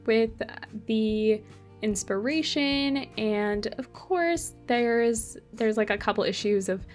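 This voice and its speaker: A woman's voice: fairly high pitch, takes it slowly, faint music behind. A young woman from Argentina, casually talking through a game build as channel host, rambling with many fillers and self-corrections. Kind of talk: casual build commentary over gameplay.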